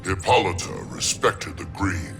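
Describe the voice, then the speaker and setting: An actor voicing an ancient, hulking plant monster who guards nature, speaking in a deep, gravelly, grave and formal way. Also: male voice, a little slow. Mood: solemn. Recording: game music behind the voice.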